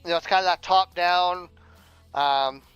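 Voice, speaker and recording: A man's voice: high voice, unhurried, a bit bright, clean tone, no echo. A man chatting casually as a livestreamer, rambling and often repeating words mid-sentence. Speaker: streamer and audio drama writer. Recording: dull lo-fi sound, music behind. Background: music playing.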